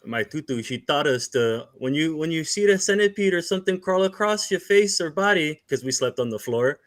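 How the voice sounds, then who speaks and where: A man's voice: fairly high pitch, lively intonation, brisk, dry recording, studio quality. A man from Hawaii telling stories in a casual chat. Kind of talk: casual storytelling.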